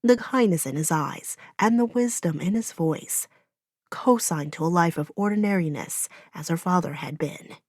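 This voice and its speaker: A woman's voice: on the low side, moderate pace, darkish tone, no echo. Woman narrating fantasy, dry close sound. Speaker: audiobook narrator. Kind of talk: scripted fantasy story narration.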